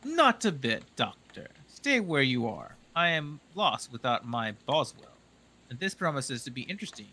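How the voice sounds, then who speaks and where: A man's voice: mid-range voice, sing-song, pushed and bright, heavily acted. A man voicing a confident, dry-witted male detective in a story reading. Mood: assured. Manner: brisk, crisp and dryly assured. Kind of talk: audiobook reading.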